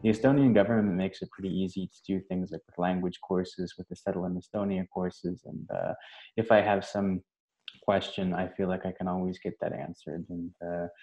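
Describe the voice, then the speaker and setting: A man from Maryland, a political scientist, talking in relaxed interview answers. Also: low voice. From Maryland, United States. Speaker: political scientist, junior research fellow. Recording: dull lo-fi audio.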